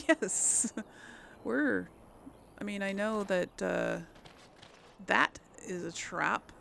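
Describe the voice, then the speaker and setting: A woman's voice: mid-range voice, expressive, drawn-out words, creaky, a bit bright, slight background noise. A woman giving casual game commentary to viewers, exclaiming and muttering her reactions as she plays. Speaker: let's play host. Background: game music.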